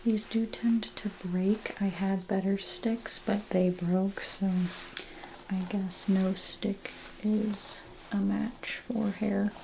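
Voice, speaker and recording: A woman doing a whispered ASMR role play as an examiner, soft-spoken and reassuring, talking through each step. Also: medium pitch, level tone, slow pace, vocal fry, slight background noise, poor audio. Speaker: ASMR creator role-playing a lice check examiner.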